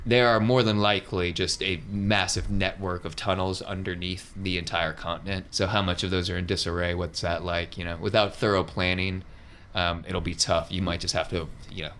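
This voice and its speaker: A man's voice: low voice, steady tone, a little fast, fairly bright tone, a little hiss or hum. A man casually narrating an improvised tabletop game as game master, explaining things conversationally.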